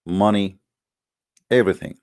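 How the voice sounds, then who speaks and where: A man with a fairly low voice, a native Arabic speaker, hosts a call-in debate show. He speaks in a combative, emphatic monologue, mocking and challenging. The sound is close and dry. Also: measured pace, darkish tone, dry recording.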